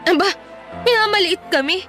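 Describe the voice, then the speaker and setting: A young woman in a radio drama, with a high voice. She is defensive and easily stung, answering back in colloquial, sarcastic turns. Music plays underneath. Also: moderate pace, bright, forceful tone. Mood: defensive, indignant. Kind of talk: Tagalog radio drama acting.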